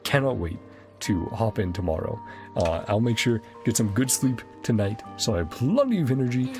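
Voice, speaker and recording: Male streamer, low pitch, creaky fry, casual and enthusiastic rambling, music clearly behind. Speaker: game streamer, host. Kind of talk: casual livestream commentary wrapping up a game session. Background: game music.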